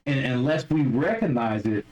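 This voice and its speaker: A man in a middle register co-hosts a podcast in casual conversation. He talks haltingly, often starting a sentence over before he finds his point. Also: mid-range voice, lively intonation, a little mellow, distant mic, rough recording. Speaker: podcast co-host.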